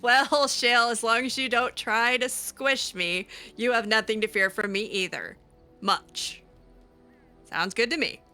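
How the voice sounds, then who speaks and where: A woman playing a friendly, flattering elf mage in improvised game roleplay, with an informal, hesitant, coaxing manner. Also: fairly high pitch, a little slow, faint music behind. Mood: friendly, tentative.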